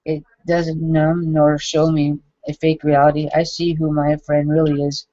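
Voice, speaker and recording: A man in his forties reading his own journal entries aloud, halting and self-critical, with frequent restarts. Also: on the high side, completely flat delivery, dark tone, dry recording. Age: 40s. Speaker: vlogger. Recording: dull lo-fi dry recording.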